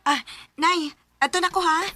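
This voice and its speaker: A polite, high-voiced schoolgirl. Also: steady tone, normal speed, over music. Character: teenage schoolgirl, calm, polite. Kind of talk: radio drama acting. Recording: loud cricket ambience behind. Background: crickets and insects.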